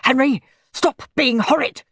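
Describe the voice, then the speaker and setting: A woman's voice: a little high, expressive, measured pace, no echo, studio quality, pitched above the performer's own voice. Woman doing an exasperated, impatient dad. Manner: impatient and stern. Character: exasperated father.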